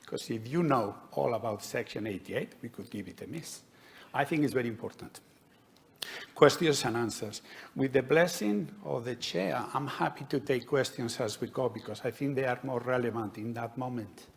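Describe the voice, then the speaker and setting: A man with a Spanish accent giving a measured, explanatory conference talk as a doctor. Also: medium pitch, gravelly. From Spain. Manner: measured, explanatory, with light humour. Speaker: conference speaker, DVLA doctor. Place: conference hall.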